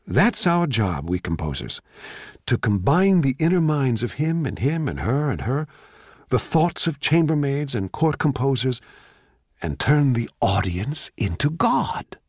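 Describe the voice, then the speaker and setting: A man playing an excitable, cocky, childlike young composer with a gushing, emphatic way of talking, in a dramatic play reading. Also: mid-range voice, unhurried, breathy edge, no echo, clean studio sound, voice pushed up, full character voice. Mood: fervent.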